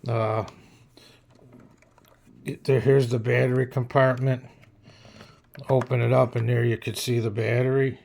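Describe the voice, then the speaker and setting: Slow-talking man casually reviewing gadgets. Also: medium pitch, level tone, gravelly, a little mellow, a little hiss or hum. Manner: hesitant, unscripted, plain-spoken. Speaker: radio reviewer. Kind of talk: casual hands-on product review.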